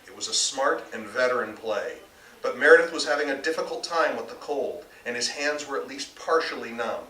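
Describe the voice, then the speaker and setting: A man's voice: medium pitch, expressive, brisk, pushed and bright. An American man, an author reading his novel aloud as live narration, recorded indoors at a distance. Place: bookstore reading event, indoors. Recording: roomy, distant live recording, faint noise.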